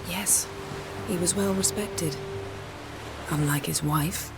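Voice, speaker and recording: An actress playing a proud, sardonic sorceress in a video game cutscene, with a cool, dry delivery. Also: female voice, on the low side, takes it slowly, loud music behind the voice. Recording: loud score underneath.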